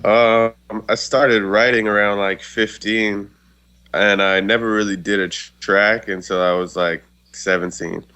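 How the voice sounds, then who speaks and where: A young man from Canada calls in as an interview guest. His low voice barely moves in pitch. It sounds bright and smooth, and he talks in a relaxed, easygoing way. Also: unhurried. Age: 20s. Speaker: guest rapper. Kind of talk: phone-in interview.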